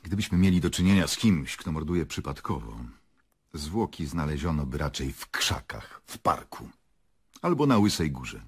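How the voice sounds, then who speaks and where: Very deep, sardonic prosecutor. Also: male voice, very animated, moderate pace, breathy edge, full character voice. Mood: firm, curt. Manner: pointed, sarcastic questioning. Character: sardonic, probing male public prosecutor, curt and distrustful. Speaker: actor. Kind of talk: Polish radio drama acting.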